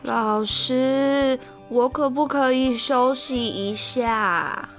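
Actress doing a cheery curious college girl in a radio drama, highish voice, whiny n playful, music under it. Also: extremely slow, fairly bright tone, exaggerated voice. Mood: whiny pleading. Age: young adult. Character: cheerful, curious young female student, youngest of the dorm. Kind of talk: Mandarin audio drama acting.

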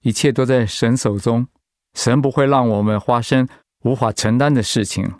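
An actor playing a gentle, steadfast, reassuring middle-aged father in a Mandarin radio drama. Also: medium pitch, steady tone, normal speed, no echo, studio quality, lightly acted. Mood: steady, reassuring.

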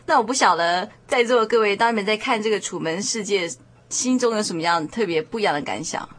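A woman's voice: mid-range voice, fast talker, fairly bright tone. A woman hosting a conversational panel discussion, prompting the others and affirming what they say. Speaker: discussion host.

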